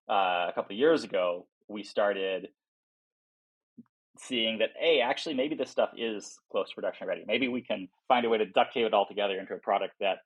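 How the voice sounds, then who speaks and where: A man's voice: on the low side, low-quality recording. A man speaking as an interviewed startup founder, explaining things informally and thinking aloud as he goes. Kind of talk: casual explanatory interview talk.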